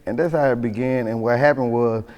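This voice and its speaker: Man, Southern US accent, animated, slangy, casual storytelling, long room reverb. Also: mid-range voice, brisk, highs cut off. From born in Mississippi, raised in Atlanta. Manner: animated, slangy, repeats "you know what I'm saying". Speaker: rap group member being interviewed.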